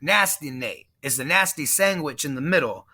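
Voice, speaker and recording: A man's voice: fairly high pitch, lively intonation, moderate pace, sharp tone, breathy edge. A man from Texas, a pro wrestler guest, talking in a boastful, menacing trash-talk promo style. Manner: boastful, menacing, heel persona.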